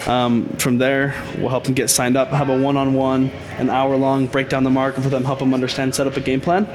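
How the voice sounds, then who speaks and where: A man speaking as a guest on a podcast, explaining his crypto consulting business in a confident, pitching way, often saying "I think". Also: completely flat delivery, fairly quick, a crowd in the background. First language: Haitian Creole speaker. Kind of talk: casual podcast interview.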